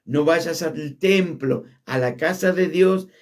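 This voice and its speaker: Argentine pastor, higher-pitched man, emphatic sermon delivery, slows and drops for stress. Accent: Argentine Spanish. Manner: emphatic preaching, drops low on key words, shifts between quick and drawn-out phrasing.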